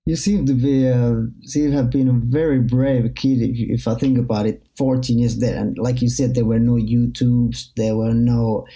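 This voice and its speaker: A male interviewer with a very dark, gravelly voice at an average pitch. He speaks English as an in a conversational style. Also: steady tone, moderate pace, hardly any pauses, poor audio.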